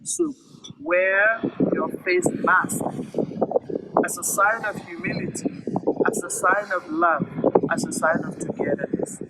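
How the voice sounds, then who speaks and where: A woman's voice: medium pitch, expressive, slow pace, pauses often, far from the mic. A woman telling a story aloud to children in a dramatic, emphatic way, repeating phrases for effect. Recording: noisy recording with loud background noise.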